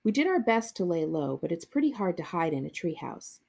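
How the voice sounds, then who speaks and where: A woman's voice: on the low side, very animated, brisk, gravelly, a little mellow. A woman, a librarian reading a children's book aloud with casual asides.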